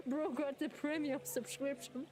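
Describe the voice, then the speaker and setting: Giggly woman chatting slowly. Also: high-pitched, fairly even intonation. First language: German. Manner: giggly, laughs often. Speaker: streamer. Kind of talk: casual chat with viewers. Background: game music.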